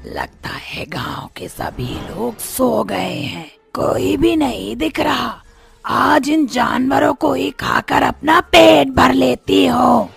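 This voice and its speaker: A woman voicing a menacing, hungry village witch in a creaky, drawn-out, theatrical way for an animated horror story. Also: high voice, exaggerated voice. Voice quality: creaky, gravelly. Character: hungry menacing female village witch, a man-eating ghost. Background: music.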